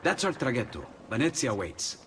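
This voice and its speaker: An actor playing an excitable, bashful young inventor and painter with an Italian accent in a game cutscene. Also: male voice, mid-range voice, normal speed, slight background noise. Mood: warm, relieved. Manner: animated, hesitant, confiding. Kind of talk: video game cutscene acting. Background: music behind the voice.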